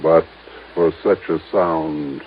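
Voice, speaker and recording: Courteous lawyer, tinny old radio sound. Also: male voice, a bass voice, fairly even intonation. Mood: discreet, earnest. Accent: American. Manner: measured, formal, halting phrasing. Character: discreet, courteous, deliberate male lawyer confiding a secret. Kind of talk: 1950s American radio drama acting. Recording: tinny, noisy vintage radio recording.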